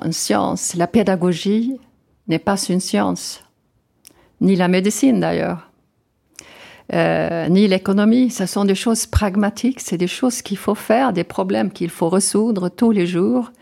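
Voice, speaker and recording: An older woman from Sweden speaking French with a Swedish accent, answering interview questions thoughtfully, often pausing with fillers and restarts. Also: fairly low pitch, creaky, dark tone. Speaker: guest.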